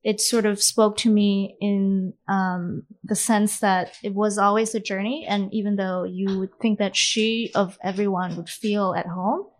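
A woman whose first language is not English, answering a panel question in a thoughtful, measured way with many fillers. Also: mid-range voice, steady tone, poor audio. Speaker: author on a panel.

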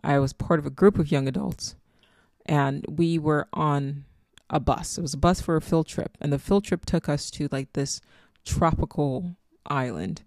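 A woman's voice: low-pitched, steady tone, unhurried, a little mellow, dry recording. Reflective Nigerian-accented woman, dry close sound. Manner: reflective, meandering, spiritual musing. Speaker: podcast host. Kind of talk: casual solo podcast monologue.

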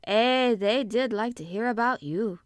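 An excitable young country maid, acted out by a woman reading a storybook, with a Yorkshire accent and a cheerful temper. She chatters freely and bursts into exclamations. The sound is close and dry. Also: fairly high pitch, measured pace, no echo, close to an everyday voice. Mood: delighted, excited. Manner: exclamatory, chatty, dialect-speaking.

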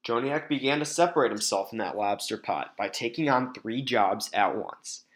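A man's voice: mid-range voice, normal speed. A man with an American accent reading scripted narration for a sports podcast feature.

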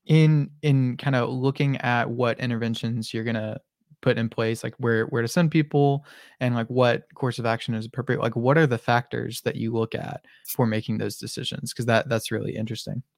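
Halting young man hosting a podcast. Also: medium pitch, steady tone, no room sound, studio quality. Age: 20s. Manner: halting, repeats words, affirming. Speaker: podcast host. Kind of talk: casual interview chat.

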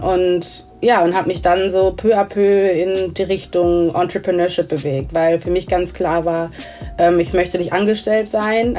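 A woman's voice: lowish voice, warm tone. Chatty thirty-year-old woman from Germany, music behind. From Germany, grew up in Essen. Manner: chatty, peppers German with English words. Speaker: interview guest, entrepreneur. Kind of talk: casual conversational interview.

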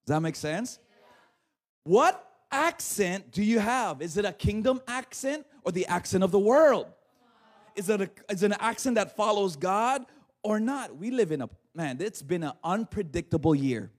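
Man, 30s, pastor; high voice, casual sermon, lots of "right?". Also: lively intonation, normal speed. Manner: conversational, asks "right?" often, laughs easily. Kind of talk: livestreamed sermon.

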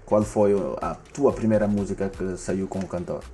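A man's voice: low-pitched, moderate pace, mellow, faint music behind. A man hosting a casual livestream, asking short questions and greeting viewers in the chat. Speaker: livestream host.

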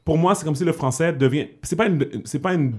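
A man's voice: on the high side, a little fast, breathy edge. A man with a Quebec French accent hosting a casual podcast, chatting loosely with fillers and English phrases mixed in. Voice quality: relaxed, many fillers, slips into English phrases. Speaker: podcast host.

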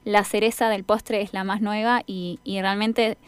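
Smooth-voiced young Argentine woman radio host. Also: medium pitch, little pitch movement, measured pace, no room sound. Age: young adult. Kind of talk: conversational radio interview.

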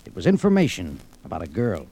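An actor playing a tough, wry adventurer in an old radio detective drama, with punchy hardboiled delivery. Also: male voice, low-pitched, huge pitch swings, fast, loud music behind the voice, exaggerated voice. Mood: confiding. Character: tough, wry male adventurer narrating his exploits. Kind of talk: 1940s-50s radio detective drama acting. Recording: slightly noisy old radio recording.

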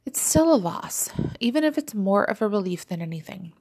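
A woman's voice: medium pitch, big pitch swings, a little fast, a little mellow, slightly rough. A woman voicing a wry, forgiving middle-aged mother in an audiobook story, dry and matter-of-fact. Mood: resigned, matter-of-fact.

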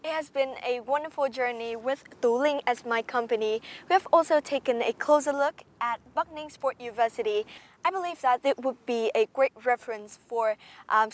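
A young woman reporter leads a campus tour, chatty and upbeat. Her voice sits fairly high, and she fills pauses with soft ums as she asks questions. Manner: upbeat, frequent um fillers. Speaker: field reporter. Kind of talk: hosted campus tour interview.

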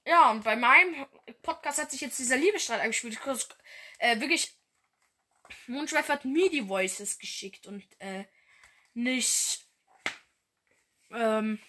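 A woman's voice: high-pitched, lively intonation, a little slow, long pauses, pushed and bright. Rambling young woman with a stuffy nose. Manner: rambling, with many fillers and slang. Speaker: podcast host. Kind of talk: casual fan podcast monologue.

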